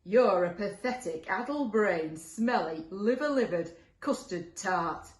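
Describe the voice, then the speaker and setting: A scornful storybook monster put on by a woman speaks. Words are loud, slow and heavily stressed. The recording has a roomy echo. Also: mid-range voice, expressive. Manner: slow, emphatic, blunt. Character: second monster, male, gruff, scornful, insulting. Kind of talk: children's storybook character voice. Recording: roomy, echoey sound.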